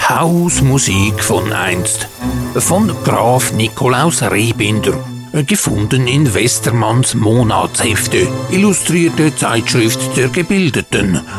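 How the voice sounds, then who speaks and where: A man's voice: mid-range voice, sing-song, slow pace, over music. A man hosting and narrating from a script in formal, ornate phrasing. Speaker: narrator and host of audio drama show.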